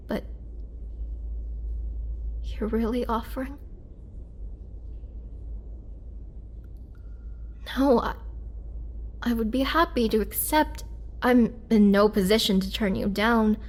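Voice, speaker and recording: A woman playing a weakened, imprisoned vampire in a roleplay audio drama, gentle and polite, speaking hesitantly with soft, whispery breath and a pleading earnestness. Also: medium pitch. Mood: grateful, hesitant. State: weak, drained. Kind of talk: girlfriend roleplay audio drama, intimate acting.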